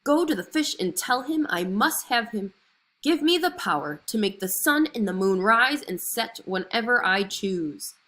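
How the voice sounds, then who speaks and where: A woman's voice: on the high side, lively intonation, measured pace, a bit bright. A woman voicing a fisherman's haughty, discontented wife in a storybook, with an imperious, commanding manner. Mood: imperious bellowing.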